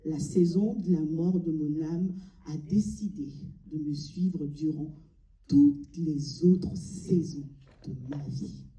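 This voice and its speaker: A woman from Mali delivering a solemn, deliberate theatrical monologue, pausing between short phrases. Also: sits low, mellow. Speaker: amateur stage performer. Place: large cinema auditorium before an audience. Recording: echoey hall, distant mic.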